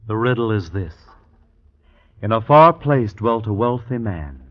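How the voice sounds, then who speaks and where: A low-pitched, brooding young man full of discontent and schemes in an old radio drama. His speech is archaic and theatrical. The recording is thin and boxed-in, like a telephone. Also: lively intonation, a little slow, lo-fi sound. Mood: sly, declaiming. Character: scheming, discontented young dreamer, son of a miser.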